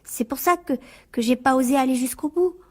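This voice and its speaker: Scared teen girl in a radio play, really high voice, anxious n pleading. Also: fairly quick, darkish tone, breathy edge. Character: frightened, pleading teenage schoolgirl, a daughter. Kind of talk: French radio drama acting.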